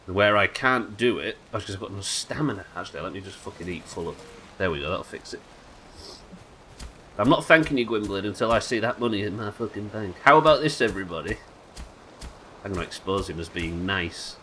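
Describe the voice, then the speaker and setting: Male game streamer, nasal and a bit hoarse, wry muttering chat, lowish voice with a sharp edge. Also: expressive, fairly quick, a little hiss or hum, rough recording. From United Kingdom. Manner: chatty, wry, swears casually, muttering asides. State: sick, slightly hoarse.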